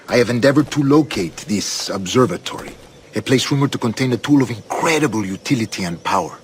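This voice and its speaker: An actor playing an authoritative older governor and scheming grandmaster in a video game, with a Spanish accent and a measured, formal, grandiose delivery. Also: male voice, medium pitch, a little hiss or hum, natural voice. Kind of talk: dramatic video game acting.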